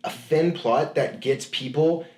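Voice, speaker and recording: A man's voice: fairly high pitch, a little slow, darkish tone, reverberant. A man co-hosting a casual podcast chat, rambling with many restarts and fillers. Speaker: podcast co-host.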